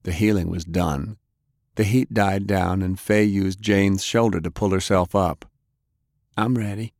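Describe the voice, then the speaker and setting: A man's voice: deep voice, steady tone, a little slow, a little mellow, no room sound, studio quality. A man reading an action story aloud as a scripted audiobook narrator.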